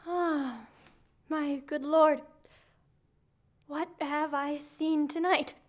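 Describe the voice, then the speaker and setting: A woman playing a distraught queen in a Shakespearean audio drama, giving the verse slow, grave delivery. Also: sits high, steady tone. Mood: shaken. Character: distraught queen and mother of a mad prince.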